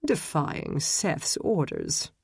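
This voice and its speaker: A woman voicing a dark, brooding man with a British accent who mutters sardonically. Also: low voice, huge pitch swings, drawn-out words, creaky, slightly rough, dry recording, studio quality, full character voice. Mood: dry, sardonic.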